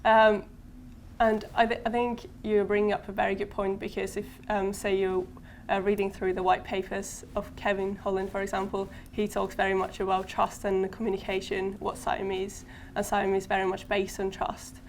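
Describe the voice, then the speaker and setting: This is a Finnish-born woman speaking as a podcast guest. She answers thoughtfully, with plenty of ums and an even, flat melody. A faint hiss of ambience sits behind her. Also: mid-range voice, moderate pace. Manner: thoughtful, hesitant with frequent ums. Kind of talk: conversational podcast interview. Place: conference venue.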